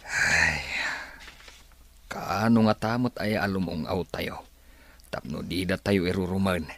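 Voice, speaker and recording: Deep-voiced, weary farm labourer played by a man. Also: takes it slowly, fairly bright tone, full character voice. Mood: resigned. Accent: Ilocano. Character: poor, weary farm labourer and father, resigned. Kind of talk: Ilocano radio-style drama acting.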